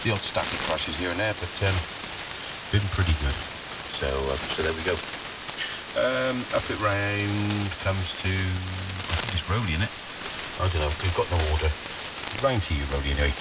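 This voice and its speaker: Deep voiced guy on ham radio, polite, ums a lot, really loud static. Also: normal speed, recorded from a distance. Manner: polite, hesitant. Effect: shortwave radio transmission. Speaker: amateur radio operator. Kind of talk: casual radio chat. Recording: narrow-band radio buried in loud static.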